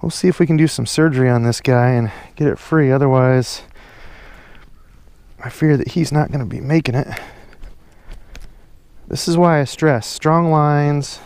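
A man's voice: on the high side, normal speed, long pauses, darkish tone, clear, resonant tone, no room sound. A young man chatting casually and upbeat while fishing outdoors from a pond bank, filmed on a head-mounted action camera. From Iowa, USA. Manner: chatty, upbeat, folksy sayings. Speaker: fishing vlogger.

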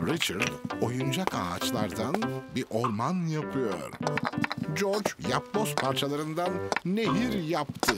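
A man narrating a children's cartoon as a cheerful, steady storyteller, with clear declarative delivery. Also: medium pitch, slow pace, over loud music. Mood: cheerful, storytelling.

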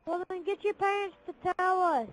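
A woman's voice: very high voice, normal speed. A woman chatting casually and bluntly in an online game party, scolding other players over a noisy headset connection. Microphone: game console party chat.

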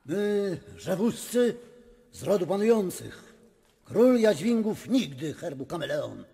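An actor playing a pompous, indignant aristocratic magnate, declaiming in a radio drama. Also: male voice, sits high, very animated, slow, creaky, warm tone, full character voice. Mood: haughty. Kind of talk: theatrical radio drama acting. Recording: long reverberant hall sound.

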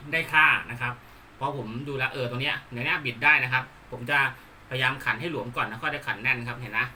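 A man giving an unscripted hands-on tutorial, explaining politely in a halting, repetitive way. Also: mid-range voice, bright, forceful tone, slight background noise. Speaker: hobbyist presenter demonstrating a DIY build.

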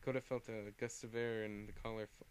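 A man's voice: on the low side, flat delivery, brisk, a little hiss or hum. A man casually reading his own fan fiction aloud, often stumbling and restarting words. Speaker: reader.

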